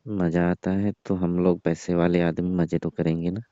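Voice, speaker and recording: A man's voice: sits low, level tone, moderate pace, dark tone, no room sound, lightly acted. A man playing a brash young rich employer in a comic phone-call skit, pushy, dismissive and teasing with suggestive undertones. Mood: smug. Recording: muffled lo-fi dry phone call recording.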